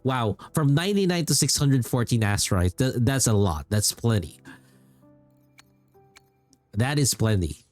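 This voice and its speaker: A man's voice: medium pitch, lively intonation, a little slow, pauses often. Man chatting on stream over music. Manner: rambling, repeats phrases for emphasis. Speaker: game streamer. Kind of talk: casual livestream chat about games.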